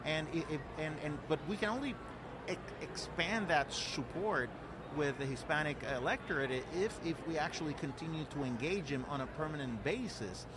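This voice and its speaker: A man's voice: on the high side, animated, moderate pace, noisy background. Earnest man from Puerto Rico outdoors. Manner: earnest, many fillers and repeated words. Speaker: guest, political director. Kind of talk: conversational interview. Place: outdoor event.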